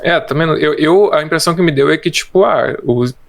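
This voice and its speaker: A man's voice: on the high side, fast, creaky. A man co-hosting a casual film podcast, reading trivia and quotes from his notes with hesitant restarts. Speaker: podcast co-host.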